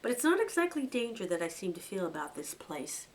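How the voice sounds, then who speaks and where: A woman's voice: mid-range voice, sing-song, a little fast, a little hiss or hum, natural voice. A woman voicing a timid young male rabbit who is anxious and whimpers with fear. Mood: uneasy. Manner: trembling, whimpering, breathless alarm.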